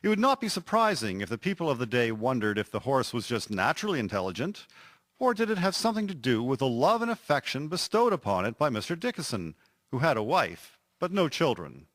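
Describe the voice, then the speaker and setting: A man narrating a scripted historical radio drama in a measured, expressive storytelling manner. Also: medium pitch, no room sound.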